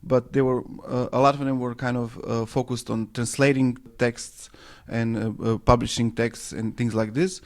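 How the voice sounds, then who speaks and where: A man who grew up in Serbia and speaks English as a second language. He answers radio interview questions in a halting way, full of fillers and restarts as he searches for words. His voice sits at an ordinary middle pitch. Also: flat delivery. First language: Serbo-Croatian. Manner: hesitant, many fillers and restarts. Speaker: interview guest, anarchist newspaper editor.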